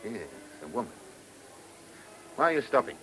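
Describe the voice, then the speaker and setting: English police detective, British accent, mid-pitched, curt, businesslike, dogged, old radio recording with light noise. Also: male voice, sing-song, moderate pace, bright, forceful tone, poor audio. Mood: determined. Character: terse, dogged English police chief inspector. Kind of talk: radio play acting.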